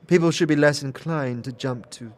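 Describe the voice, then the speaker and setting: A man's voice: a little high, normal speed, pitched above the performer's own voice, close to an everyday voice. A man doing a silky, flirtatious sorceress. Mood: teasing. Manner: silky, teasing, formal old-fashioned speech. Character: elegant, flirtatious female sorceress. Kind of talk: fan audio drama acting.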